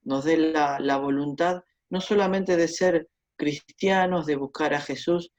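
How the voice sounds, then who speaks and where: A man's voice: fairly high pitch, fairly even intonation, a little slow. A man, a Bible teacher, sending hesitant greetings and leading a prayer. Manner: hesitant, repeats words, earnest. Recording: dull lo-fi sound.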